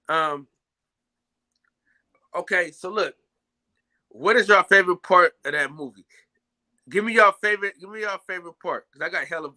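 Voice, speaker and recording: A young man hosting a casual live-stream chat, loudly shouting out viewers by name. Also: a little high. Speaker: podcast host.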